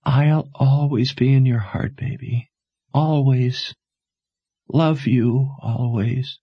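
A man voicing a gentle dying mother who urges her daughter in soft, breathy whispers. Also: mid-range voice, fairly even intonation, slow pace, no echo, highs cut off, voice pushed up. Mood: tender.

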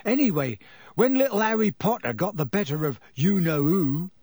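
Audiobook role: gossipy young working-class conductor played by a male reader, raised pitch, slightly husky, chatty and excitable. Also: normal speed, dry recording, studio quality. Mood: gossipy, dramatic. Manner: chatty, colloquial, dropped-grammar gossip with tag questions. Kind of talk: audiobook character voice.

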